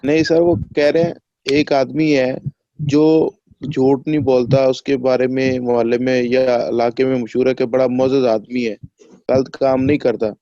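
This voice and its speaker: Male student, dark soft-edged tone, deferential questioning, muffled lo-fi and very dry. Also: medium pitch, flat delivery, normal speed, no echo. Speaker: law student.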